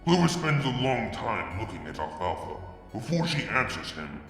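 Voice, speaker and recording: A man reading fan fiction aloud, narrating in the first person with brooding, deliberate delivery and sudden drops to a hush. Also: fairly low pitch, sing-song, creaky, soft background music. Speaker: fanfiction reader. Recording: reverberant room with long echo.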